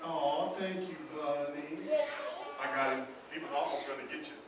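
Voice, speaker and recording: A man's voice: on the high side, normal speed. A man presenting a children's church puppet show in a playful, chatty way, speaking to the kids. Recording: roomy, distant, very noisy live hall recording.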